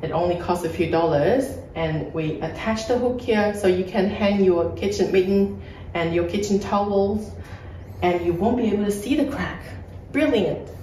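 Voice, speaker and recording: A woman's voice: medium pitch, normal speed, mellow, distant mic, a little hiss or hum. A woman, walking through a renovated kitchen and explaining what was done, filmed on a phone camera. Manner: explanatory, pointing things out as she walks. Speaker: property flipper showing a renovation. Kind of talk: casual home tour walkthrough. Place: bright empty renovated kitchen, indoors. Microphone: phone camera held a few feet away. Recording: echoey room, voice a bit off-mic.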